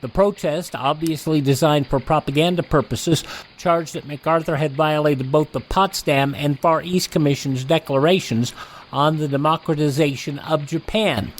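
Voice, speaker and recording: A man hosting a classic radio program, reading the news and the show introductions in a steady, announcer-like manner. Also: on the high side, normal speed, a little hiss or hum, rough recording. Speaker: radio host.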